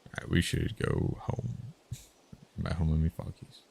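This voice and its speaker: A man live streaming a text game, musing out loud in short casual phrases and sometimes reading the game text. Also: low voice, a little slow, gravelly, slight background noise. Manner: musing, muttered short phrases, thinking aloud.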